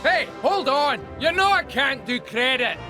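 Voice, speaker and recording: An actor voicing a blunt, wry dock worker. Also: male voice, very high-pitched, lively intonation, measured pace, over music. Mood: gruff. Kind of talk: video game voice acting.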